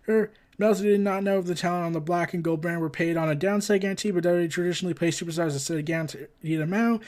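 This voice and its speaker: A man's voice: sits high, darkish tone. A man hosting a pop culture news show, mixing read-out reports with casual, opinionated and exasperated commentary.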